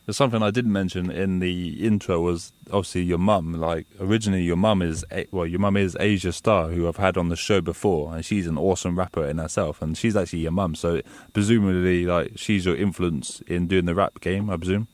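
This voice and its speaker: A man's voice: deep voice, fairly even intonation, brisk, hardly any pauses, a little mellow, dry recording. A man hosting a radio show, interviewing a guest in a chatty, enthusiastic way and calling him "man" again and again.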